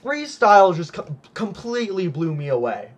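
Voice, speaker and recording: A man commentating on a simulated monster truck event in an excited play-by-play style, hyped and exclaiming often, as his voice starts to go. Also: high-pitched, normal speed. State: losing his voice.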